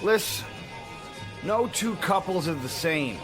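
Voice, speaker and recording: An actor playing a composed, indulgent older male companion in a radio drama, with a measured, reassuring manner. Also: high-pitched, animated, music in the background. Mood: discreet, reassuring.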